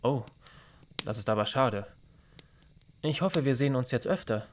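A man's voice: mid-range voice, animated, normal speed, a little hiss or hum, natural voice. A man playing a polite, charming young apprentice gardener in a radio play, courteous and gallant. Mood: charming, friendly. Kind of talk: German radio-play acting.

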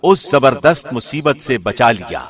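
A man narrates translated scripture in a formal, measured way. His pitch is mid-range for a man. The voice carries a long reverberant tail. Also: big pitch swings, breathy edge. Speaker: translation reader, radio host.

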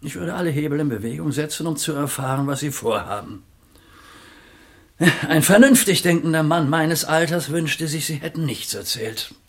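A man's voice: on the high side, animated, moderate pace. An actor playing a shrewd, commanding older businessman in a German radio play, with a blunt and emphatic way of talking. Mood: resolute.

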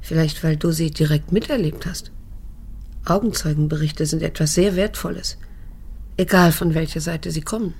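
An actress playing a reserved, middle-aged daughter who questions pointedly and with control, in a creaky-voiced radio drama performance. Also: female voice, sits low, moderate pace, a little mellow. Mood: insistent, cool. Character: grown daughter, reserved, probing, coolly determined.

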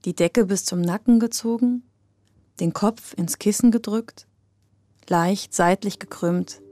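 A woman narrating a personal audio piece in an intimate, reflective, measured manner, from a script. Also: mid-range voice, warm tone, no room sound.

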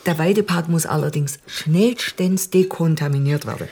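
A man's voice: on the high side, moderate pace, a little mellow, slightly rough. An actor playing a self-important, evasive male politician in a radio drama, repeating himself with hesitant interjections. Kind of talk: German radio crime drama acting.

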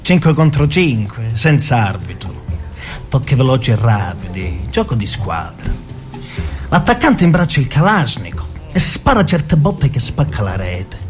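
A man's voice: mid-range voice, very animated, unhurried. A man playing a boastful, swaggering mafia gunman in a theatrical radio drama monologue. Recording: music behind the voice.

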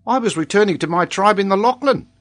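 A man's voice: high-pitched, fast talker, a bit bright, voice pushed up. A man voicing a devout, polite, earnest little boy in a storybook reading.

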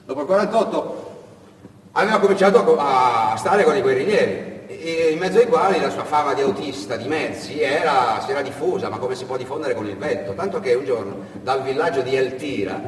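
A man narrating a dramatic stage monologue as an actor, with deliberate pauses and swells. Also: mid-range voice, animated. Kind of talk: theatrical storytelling monologue. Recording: echoey hall, distant mic, faint noise.

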